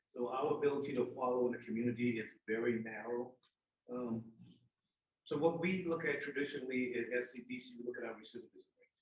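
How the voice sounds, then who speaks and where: Echoey older American man with Northern accent. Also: medium pitch. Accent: Northern US, New England-tinged. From Charleston, South Carolina. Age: 60s. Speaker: corrections official, guest speaker. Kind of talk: public speaking at a forum. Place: indoor room at a public forum. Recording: echoey room, distant microphone.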